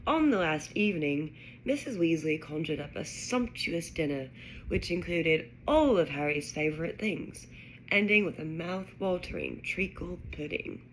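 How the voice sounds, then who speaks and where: Woman putting on a low British storybook narrator. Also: expressive, unhurried, a little hiss or hum, poor audio. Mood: storytelling. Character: British storybook narrator reading a wizard school tale. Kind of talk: scripted narration.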